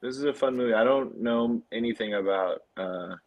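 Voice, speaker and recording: A man's voice: mid-range voice, normal speed, muffled recording. A man hosting a casual film podcast, reading out facts and putting questions to the others. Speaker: podcast host.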